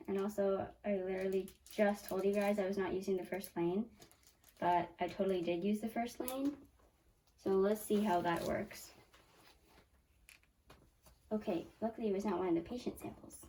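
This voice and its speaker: 12-year-old girl, squeaky high voice, chatty step-by-step lab demo, set back from the mic. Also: steady tone, moderate pace, pauses often, mellow, a little hiss or hum. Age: 12 years old. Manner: chatty, self-correcting, talks through each step. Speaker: young science channel host. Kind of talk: casual hands-on lab demo. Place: small home lab. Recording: voice from a distance off the mic.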